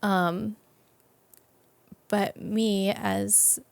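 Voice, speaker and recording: A woman's voice: mid-range voice, little pitch movement, very slow, creaky, dry recording. A woman, a young adult, talking reflectively and casually as an interview guest.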